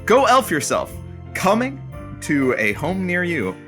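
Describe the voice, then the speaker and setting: Male radio announcer, showy, wry, blunt, very animated pitch, audio drama. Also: unhurried, faint music behind, full character voice. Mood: showy, hyped.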